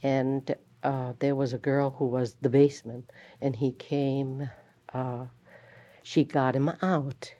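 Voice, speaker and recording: A woman schoolteacher recounting her classroom memories in an interview, speaking in a halting, reflective way. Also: a bass voice, mellow. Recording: dull lo-fi recording.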